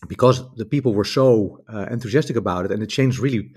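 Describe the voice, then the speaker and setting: A man's voice: fairly low pitch, quick pace, a little mellow, breathy edge. A Dutch man speaking English as a guest author, talking over a video call in a rambling, reflective way with many restarts. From Netherlands. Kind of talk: casual conversation.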